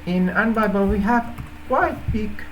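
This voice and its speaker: Male presenter, native Finnish speaker with Finnish accent; unhurried, hesitant explaining, light noise behind. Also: high-pitched, fairly bright tone, muffled recording. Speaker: app developer presenting a feature idea. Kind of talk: informal explainer to camera.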